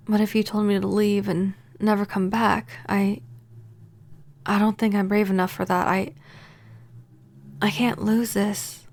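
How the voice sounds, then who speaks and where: A woman playing a pining, possessive vampire neighbor in a soft-spoken, intimate ASMR roleplay, gentle and halting. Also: mid-range voice. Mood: hesitant, sorrowful.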